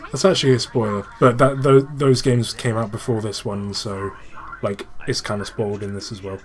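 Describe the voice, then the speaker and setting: A man's voice: on the low side, fairly even intonation, normal speed. Exasperated gamer man, casual commentary. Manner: enthusiastic fan explaining, exasperated, swears. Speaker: gaming channel host and player.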